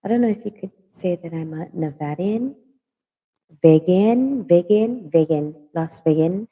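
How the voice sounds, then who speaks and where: Woman, late 40s, very dark mellow tone, reverberant room. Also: fairly low pitch, expressive. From Hawaii. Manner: slow, halting, many fillers and restarts. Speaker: host of a live stream. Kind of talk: casual live-stream chat.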